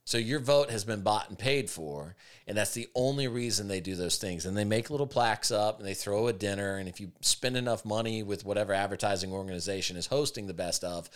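A man with a raspy voice, hosting a podcast with opinionated, emphatic casual talk. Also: fairly low pitch, fairly quick, few pauses. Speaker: podcast host.

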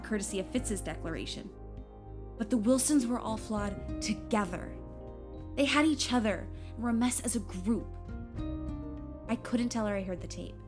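A woman's voice: mid-range voice, lightly acted. A woman playing a sincere, sentimental young narrator, confiding her story in a radio play. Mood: earnest, resolved. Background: music under the voice.

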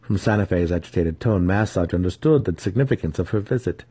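A man's voice: low voice, lively intonation, a little fast, dark tone, husky, no room sound. A man giving a dramatic scripted reading of a fantasy novel as its narrator. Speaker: audiobook narrator.

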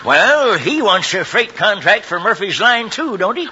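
Sharp, cantankerous old western freighter. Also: male voice, high-pitched, big pitch swings, unhurried, full character voice. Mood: feisty, scornful. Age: older. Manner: folksy cowboy drawl, insulting. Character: feisty, cantankerous old frontier freighter, proud father. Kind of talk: old-time radio western acting. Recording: dull, noisy old radio recording.

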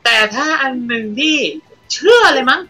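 A man with a bright, forceful voice and very lively intonation trades crude jokes as a podcast guest. He is blunt and casual, with faint music underneath. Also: very high voice, moderate pace. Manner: joking, blunt, swearing.

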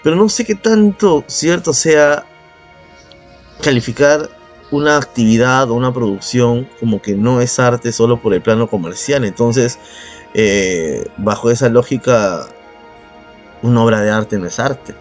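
A man with a Peruvian accent talks as a podcast co-host over faint background music. His mid-pitched voice carries an argumentative, sarcastic edge, with casual swearing and an informal, opinionated flow. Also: unhurried.

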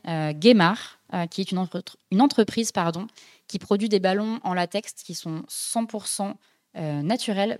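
A woman's voice: lowish voice, darkish tone. A young woman hosting a casual podcast, talking chattily with many hesitations and fillers. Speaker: podcast host.

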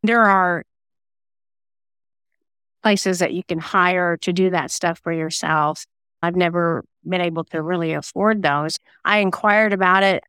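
Woman, lowish pitch, smooth clear tone, chatty interview guest, dry close sound. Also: a bit bright, no room sound. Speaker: guest, singer-songwriter and self-published novelist. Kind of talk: conversational interview answers.

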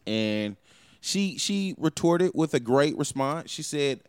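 A man's voice: on the high side, animated, slow pace, clean tone, no echo. A man hosting a podcast in casual chat, telling stories animatedly and swearing freely. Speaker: podcast host.

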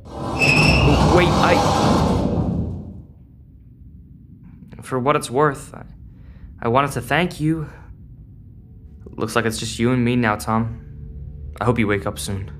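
A man's voice: medium pitch, steady tone, unhurried, pauses often, a bit bright, soft background music, lightly acted. A man playing a frustrated, defiant teenage boy in a sci-fi audio drama, argumentative and sarcastic. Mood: subdued, sincere.

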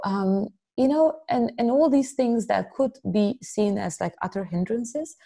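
Gravelly-voiced woman artist from Slovenia. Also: on the low side, a little mellow. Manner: rambling, many fillers and restarts. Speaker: artist and filmmaker. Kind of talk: conversational interview answer.

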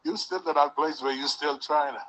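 A man's voice: a little high, brisk, slight background noise, poor audio. A man leading a church service, preaching fervently with call-and-response and repeated hallelujahs. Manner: repeats hallelujah, rises to shouts.

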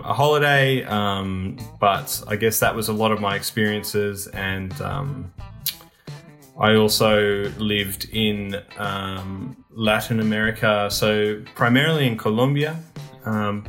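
A young man telling stories in a casual interview, relaxed and rambling, with lots of ums and restarts. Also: on the low side, a little slow, soft background music. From Australia. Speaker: guest.

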